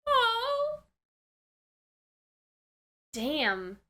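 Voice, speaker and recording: Casting: female reaction host, very high bright voice, unhurried pace, informal and expressive. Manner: informal, slangy, many short exclamations and repeated words; emotionally expressive, reacts out loud. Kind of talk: casual, unscripted reaction commentary to an anime episode.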